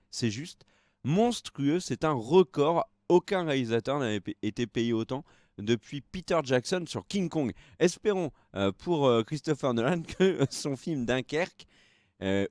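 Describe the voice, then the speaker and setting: A man's voice: mid-range voice, a little mellow, dry recording, clean studio sound. A tired young man co-hosting a live film news show, rambling through the news with lots of hesitations. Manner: rambling, hesitant with many fillers. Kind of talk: casual live-stream chat.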